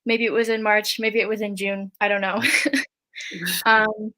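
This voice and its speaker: A woman's voice: mid-range voice, level tone, unhurried, sharp tone, dry recording, low-quality recording. Young woman, easygoing and chatty. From Canada. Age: young adult. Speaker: panelist on a gap year.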